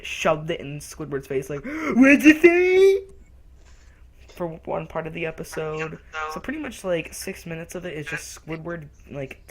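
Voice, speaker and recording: A young man co-hosting a casual cartoon review who rambles and loudly acts out lines from the show. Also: a little high, poor audio. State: recently sick. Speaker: co-host reviewer.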